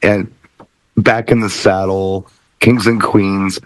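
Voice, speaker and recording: A man's voice: sits low, little pitch movement, slow, dry recording, low-quality recording. A middle-aged man talking casually on a discussion panel. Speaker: DJ and panel member.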